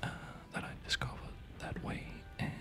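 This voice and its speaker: A man whispers breathily and haltingly in an unhurried ASMR chat while playing a game, close to a studio microphone at home. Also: music in the background. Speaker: ASMR gamer. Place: quiet home at night. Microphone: close studio condenser microphone.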